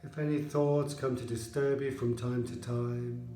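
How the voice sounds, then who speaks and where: A man leading a guided yoga relaxation as an instructor, speaking in a measured, soothing manner with pauses. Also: medium pitch, level tone, dark tone, reverberant, slight background noise. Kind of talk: guided relaxation meditation narration.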